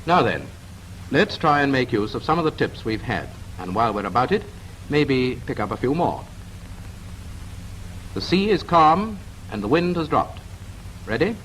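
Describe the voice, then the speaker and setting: A man's voice: medium pitch, big pitch swings, normal speed, long pauses, fairly bright tone. A man with a British accent narrating a 1940s instructional film, addressing the viewer conversationally with questions. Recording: noisy 1940s film soundtrack.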